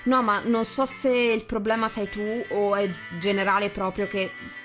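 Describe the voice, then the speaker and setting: A woman's voice: medium pitch, level tone, moderate pace. A woman, hosting a stream with informal, affectionate casual chat. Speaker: stream host. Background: music.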